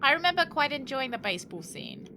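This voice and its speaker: A woman's voice: high voice, very animated, quick pace, pushed and bright, a little hiss or hum. A British woman chatting casually and thinking aloud to viewers as she streams a video game. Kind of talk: casual chat while playing a game.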